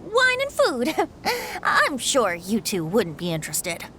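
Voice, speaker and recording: Bright, refined young lady. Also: on the high side, huge pitch swings, takes it slowly, a little hiss or hum, heavily acted. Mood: smugly dismissive. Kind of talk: scripted video game dialogue.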